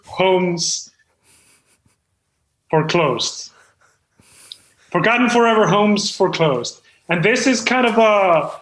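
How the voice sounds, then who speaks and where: A man's voice: high voice, a bit bright, echoey room, slight background noise, poor audio. A man playing an earnest, self-important amateur screenwriter, hesitant and rambling, in improvised comedy chat. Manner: hesitant, rambling, full of pauses.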